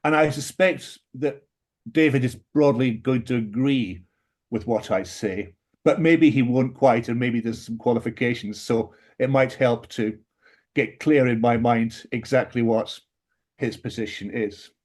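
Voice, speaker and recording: A man with a British English accent giving a prepared philosophy talk as a panelist, measured and lecturing, with dry wry asides and emphatic rises on key points. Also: mid-range voice, darkish tone. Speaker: panelist in an academic book discussion.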